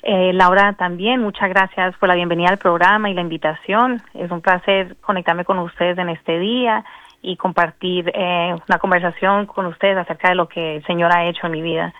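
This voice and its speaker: A young woman from the United States with a slight Colombian accent, a guest singer speaking politely and warmly. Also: fairly low pitch, fairly even intonation, normal speed, no echo. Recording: dry, dull remote phone call.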